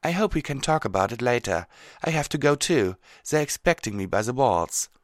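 A man's voice: medium pitch, expressive, fairly quick, no room sound, studio quality, pitched above the performer's own voice, lightly acted. A man voicing an earnest, sensible female unicorn mage from a cartoon, speaking articulately. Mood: resigned, reassuring.

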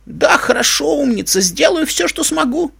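A man's voice: very high voice, sing-song, moderate pace, vocal fry, a bit bright, no echo, voice pushed up, exaggerated voice. A man voicing an old, weary, grave grandfather pony who speaks in a grim colloquial way for a storybook. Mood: grateful.

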